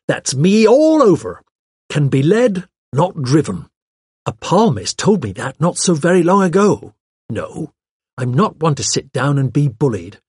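A man's voice: on the high side, a little mellow, breathy edge, dry recording, studio quality, pitched above the performer's own voice, full character voice. A man voicing a chatty, arch young woman with a gushing, pert, colloquial way of talking, as in an audiobook. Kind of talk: audiobook character voice.

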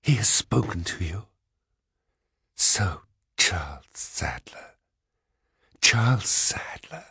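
Guy doing a weak scheming lady in an audiobook, breathy, begging and lovesick, close dry sound. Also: lowish voice, animated, moderate pace, dry recording, exaggerated voice. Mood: shocked whisper. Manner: gasping, whispering, pleading. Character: frightened, weakened, lovesick scheming woman, pleading. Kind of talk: audiobook character voice.

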